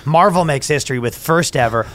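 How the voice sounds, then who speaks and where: A man's voice: on the high side, brisk, a bit bright. A man hosting a comedy podcast, riffing crude jokes in casual chat. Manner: crude, joking, riffing into bits.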